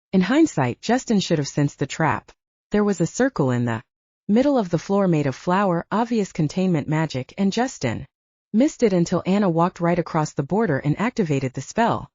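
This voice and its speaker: A woman's voice: lowish voice, darkish tone, no room sound, highs cut off. A woman reading a fiction audiobook as a scripted narration.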